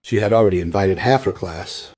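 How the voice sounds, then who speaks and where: An actor playing a grieving, well-off father in a crime radio play, husky, subdued and polite. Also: deep voice, a little fast, darkish tone, a little hiss or hum, natural voice.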